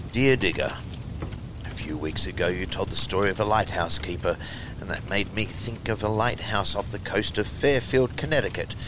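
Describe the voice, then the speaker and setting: Guy with a lowish voice reading spooky stuff like a horror host, theatrical and deliberate, rumbly noise behind him. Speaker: host and reader of a horror anthology show. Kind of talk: expressive storytelling and letter reading.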